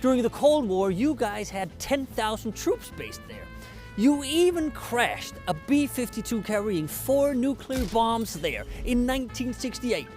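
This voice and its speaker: A man's voice: very high voice, big pitch swings, unhurried, a little mellow, soft background music. A man from Denmark, a native Danish speaker with a Danish accent, delivering a scripted comedic address to the camera in a dry, sarcastic, mock-polite way. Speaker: satirical presenter.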